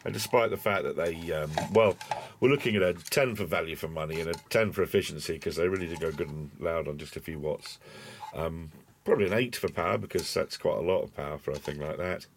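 A man's voice: deep voice, fast talker, a little hiss or hum. A middle-aged British man giving a casual hands-on product review in a chatty, colloquial way, with wry asides. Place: small cluttered indoor desk workspace.

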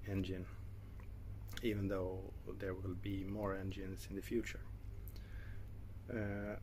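Man, Swedish accent, non-native English, casual phone vlog, hesitant, lowish voice, faint background hum. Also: darkish tone. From Sweden. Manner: halting, many fillers and self-corrections. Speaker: car hobbyist vlogger. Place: indoor garage. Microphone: phone held at arm's length.

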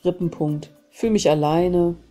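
Low, mellow-voiced woman guiding tapping. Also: a little slow, soft background music. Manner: calm, repeats phrases and calls out tapping points. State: has a cold. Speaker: self-help channel host. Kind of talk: guided EFT tapping session.

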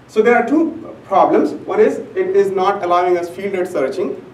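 A young man, a native Urdu speaker, presents at a conference in a fairly high voice, halting often with fillers. He is recorded from far off in an echoey room. A faint hum runs underneath. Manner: halting, many fillers and restarts. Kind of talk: academic conference talk. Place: conference room, large and indoors. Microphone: room camera mic from far off.

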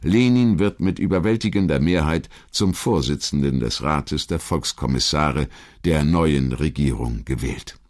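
Male narrator for a history documentary: low register, measured and articulate scripted storytelling. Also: a little mellow.

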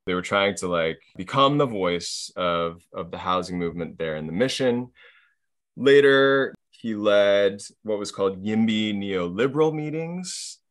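A man's voice: lowish voice, expressive, measured pace. A man in casual conversation, rambling with many fillers and a sardonic edge.

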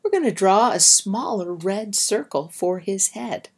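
A woman's voice: medium pitch, expressive, unhurried, husky. A woman reading aloud to young children and guiding them in simple, instructive language, speaking directly to the listener. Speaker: storybook reader and drawing guide.

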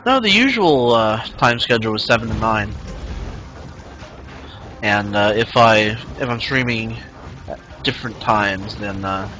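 Bright-voiced young man streaming casually. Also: fairly low pitch, expressive, unhurried, pauses often, muffled recording, faint music behind. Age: 20s. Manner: rambling, reads chat aloud, many fillers. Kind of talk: casual live-stream chat while gaming.

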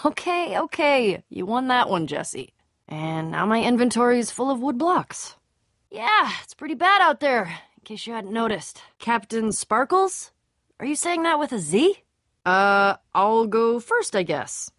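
A woman's voice: on the high side, very animated, a little slow, a bit bright, no echo, studio quality. A woman playing a brave, sarcastic young adventurer in a video game, with a casual, wry and teasing delivery. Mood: feisty, sarcastic. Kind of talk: animated game dialogue.

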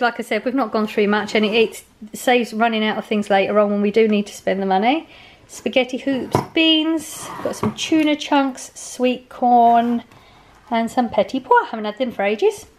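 A woman's voice: a little high, slight background noise. A woman in her forties chatting casually at home in her kitchen, rambling cheerfully about the bargains she bought. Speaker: vlogger. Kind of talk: casual grocery haul chat.

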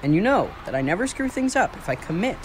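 A young American man playing a brash, excitable slacker college student in a naturalistic audio drama. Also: high voice, fast talker, a little hiss or hum, low-quality recording. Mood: pleading, playful. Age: young adult.

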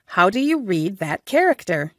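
A woman teaching English, saying each short everyday phrase several times, slowly and carefully for learners. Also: medium pitch, very animated, fairly bright tone, no echo, studio quality. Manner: slow, careful phrase repetition. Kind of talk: repeating phrases for language learners.

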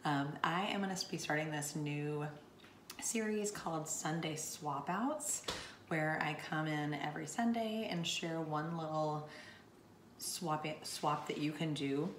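Slightly hoarse low-voiced woman. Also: measured pace. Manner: chatty, explains while demonstrating with hands. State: slightly hoarse, losing her voice. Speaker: home DIY vlogger. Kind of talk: casual how-to vlog. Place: home bathroom counter, indoors. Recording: roomy home recording, faint hiss.

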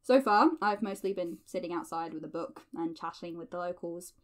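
A woman's voice: lowish voice, normal speed, lightly acted. An actress playing a playful, nerdy young woman in a chatty audio drama diary, teasing and theatrical. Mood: chatty, wry.

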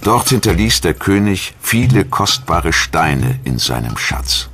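Very deep, gravelly male documentary narrator. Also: big pitch swings, a little slow, a bit bright, soft background music. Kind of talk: scripted narration.

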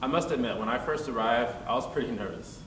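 A man's voice: fast, a bit bright. A young man, a student, speaking in a sincere, reflective way. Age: young adult. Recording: echoey hall, distant mic, rumble.

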